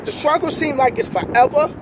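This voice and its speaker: High-pitched New man, emphatic. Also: fairly quick. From New York City. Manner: emphatic, repetitive, slangy. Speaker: trucker vlogger, host. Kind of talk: motivational casual chat. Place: outdoors beside a parked semi truck. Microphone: camera at arm's length. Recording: loud outdoor wind and vehicle noise.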